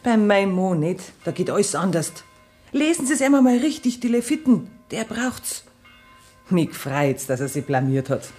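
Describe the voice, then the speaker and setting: An actress playing a practical, grumbling village wife with a blunt, earthy manner and a Bavarian accent in a 1960s radio play. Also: female voice, medium pitch, very animated, moderate pace, warm tone, soft background music, natural voice. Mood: scornful.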